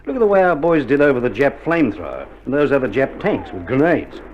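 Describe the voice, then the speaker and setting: An actor playing a reassuring Australian army doctor in a war radio drama, speaking in casual slang and playing down danger. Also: male voice, medium pitch, big pitch swings, quick pace, close to an everyday voice. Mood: reassuring, offhand. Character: breezy, reassuring male army doctor in wartime field hospital. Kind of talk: 1960s radio drama acting. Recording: muffled old vinyl drama, loud battle noise.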